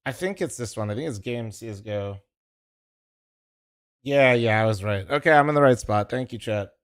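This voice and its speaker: A young man talks to his stream chat with a profane, teasing ramble, and his voice sits at an ordinary pitch. He's casual and loose, stopping and restarting as he fiddles with his setup. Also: normal speed, smooth. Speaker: streamer co-streaming an esports match.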